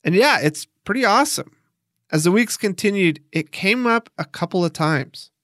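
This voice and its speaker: A man's voice: high voice, animated, normal speed, a bit bright, slight background noise. A man hosting a documentary podcast, narrating and interviewing in a casual, enthusiastic, conversational way.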